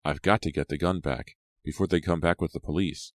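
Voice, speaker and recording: A man's voice: low-pitched, steady tone, a little fast, dry recording, natural voice. A man voicing a worried but determined teenage girl, earnest and insistent, in an audiobook story.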